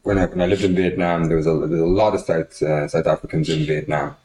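Irish guy asking questions on a podcast, deep voice, a bit far from the mic, echoey. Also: steady tone, quick pace, darkish tone. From Ireland. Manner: rambling, curious questioning. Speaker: podcast host. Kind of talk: casual podcast conversation.

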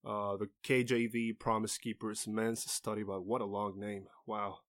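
Low-voiced man hosting a teaching podcast. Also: little pitch movement, moderate pace, fairly bright tone. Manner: earnest, explanatory, with small restarts. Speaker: podcast host. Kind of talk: solo teaching talk.

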